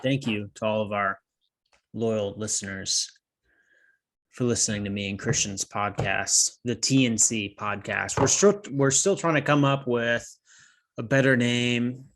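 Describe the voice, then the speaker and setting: A man's voice: medium pitch, steady tone, a little slow, fairly bright tone. A man co-hosting a casual podcast, rambling and losing his train of thought, with a slightly nasal voice. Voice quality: a bit nasal. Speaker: podcast co-host. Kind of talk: casual chat.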